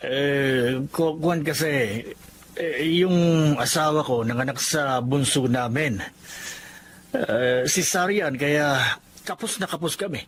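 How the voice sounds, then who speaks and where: Man acting a halting, hard-up older brother. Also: a little high, a bit bright. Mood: sheepish, pleading. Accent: Filipino. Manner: halting, sheepish. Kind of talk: Filipino audio drama acting.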